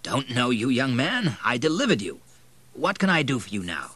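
Man playing a brisk, animated older doctor. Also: medium pitch, slightly rough, no echo, voice pushed up, heavily acted. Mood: brisk, familiar. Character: brisk, avuncular, reassuring older family doctor. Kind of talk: audiobook character voice.

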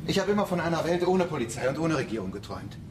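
A disillusioned anarchist in a science fiction radio play. His questioning standard German swings very widely in pitch. He sounds echoey, with loud noise around him. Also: sing-song, racing. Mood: sceptical. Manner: sceptical, questioning. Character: disillusioned male idealist anarchist in a space colony. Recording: distant, echoing, loud background noise.

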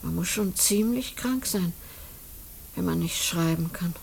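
A woman's voice: fairly low pitch, a little slow, creaky, a little mellow. An actress playing a lonely, anxious middle-aged widowed mother in a German radio play, speaking in a hesitant, homely way. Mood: worried, wistful. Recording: noisy old radio drama recording, clicks.

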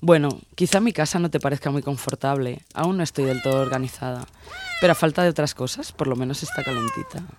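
A man's voice: a little high, huge pitch swings, fast, a little hiss or hum. A man playing an intense, possessive sailor woman of twenty-six in an audio drama, speaking in an insistent, teasing way. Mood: coaxing. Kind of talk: dramatised audio story dialogue.

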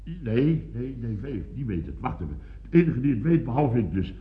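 An actor playing a pompous, excitable boss of a building firm, sputtering and repeating himself in an old radio comedy. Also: male voice, medium pitch, moderate pace, close to an everyday voice. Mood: flustered. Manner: sputtering, pompous, repeats words, mock-solemn exclamations. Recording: dull vintage radio recording.

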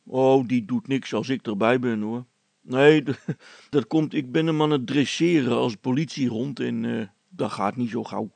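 A man's voice: mid-range voice, normal speed, gravelly, a little mellow, no room sound. A man voicing a good-natured, proud village policeman with a folksy, rambling, hesitant way of talking in a comic story. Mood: proud, genial. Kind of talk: character voice in a read-aloud comic story.

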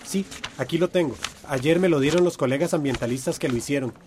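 An actor playing an organized, cautious community protest leader in a radio drama, speaking in a measured, earnest way. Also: male voice, a little high, darkish tone, slight background noise, lightly acted. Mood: serious, resolute.

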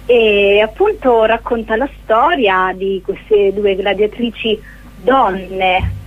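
A woman giving an informal radio interview as a guest actress, speaking hesitantly with searching pauses. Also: medium pitch. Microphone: telephone. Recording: narrow, tinny phone line.

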